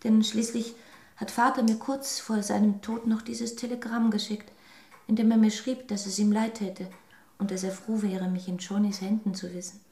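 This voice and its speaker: Schauspielerin als vertrauensvolle, besorgte junge Ehefrau, halliger Raum. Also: female voice, medium pitch, flat delivery, normal speed, darkish tone, lightly acted. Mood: unsettled. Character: trusting, anxious young married heiress in grief. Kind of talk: radio drama acting. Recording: roomy echo, faint hiss.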